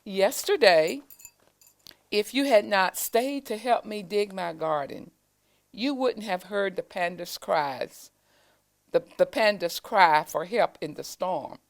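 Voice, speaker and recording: A woman voicing a wise, patient old male turtle mentor in a storybook, explaining things gently and deliberately. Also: medium pitch, animated, creaky. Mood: patient, instructive.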